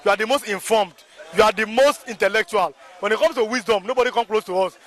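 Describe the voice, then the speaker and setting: A man's voice: high voice, fast talker, fairly bright tone. A man with a Nigerian Yoruba accent speaking as a local movement spokesman, emphatic and repetitive, often checking "you understand?". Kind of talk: impassioned street interview.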